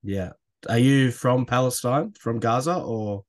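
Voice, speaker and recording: A man hosting a podcast, soft-spoken and gentle in a casual interview. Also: medium pitch, measured pace, dry recording, clean studio sound. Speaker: podcast host.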